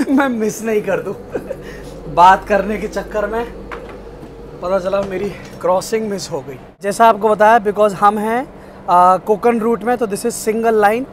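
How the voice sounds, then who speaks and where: A young man with a fairly high voice, talking eagerly and straight to his viewers. He films himself on a phone held at arm's length. Faint railway noise sits in the background. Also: slow pace. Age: young adult. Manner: excited, chatty, addresses viewers directly. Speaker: train travel vlogger. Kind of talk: casual travel vlog. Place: train carriage and outdoor station platform.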